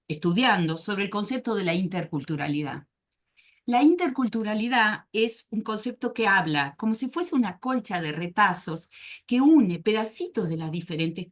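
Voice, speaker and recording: A woman presents to a panel in an academic, reflective way. Her pitch moves a lot, rising and falling as she reaches for words, with frequent small hesitations. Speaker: psychoanalyst panelist. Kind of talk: academic conference talk.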